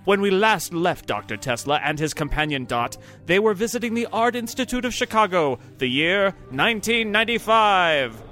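A man's voice: high voice, animated, normal speed, hardly any pauses, pushed and bright, soft background music. A man playing the punchy, melodramatic announcer of an old-time radio adventure serial. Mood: thrilling, dramatic. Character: breathless, thrilling male announcer of pulp adventure serial.

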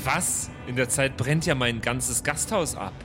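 A man's voice: medium pitch, unhurried, over music. A man improvising casually in character as a player in a tabletop role-playing game.

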